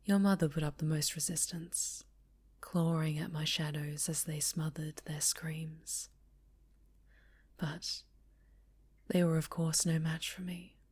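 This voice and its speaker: A woman playing a sinister, possessive female superhero villain in an ASMR roleplay, speaking softly with sweet menace and often whispering. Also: low voice, fairly even intonation, exaggerated voice. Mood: coldly menacing. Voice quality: soft, breathy, often whispered. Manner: slow, sweetly menacing, coaxing.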